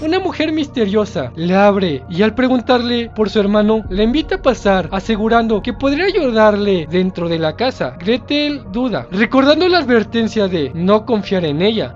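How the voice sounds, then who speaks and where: A man's voice: high-pitched, big pitch swings, normal speed, hardly any pauses. A man narrating a scripted horror story as its storyteller, in a slightly lo-fi recording. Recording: slightly dull, lo-fi sound. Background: background music.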